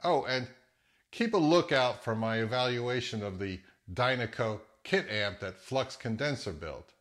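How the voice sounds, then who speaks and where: A man's voice: mid-range voice, lively intonation, measured pace, fairly bright tone. A man in his fifties giving scripted commentary on products as the host of a review channel.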